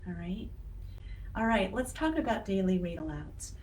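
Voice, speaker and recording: A woman's voice: mid-range voice, expressive, normal speed, darkish tone, rough recording. A woman giving a casual, encouraging educational talk to parents, conversational with emphatic stresses on key words. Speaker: children's literacy educator and blog host. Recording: clear background noise.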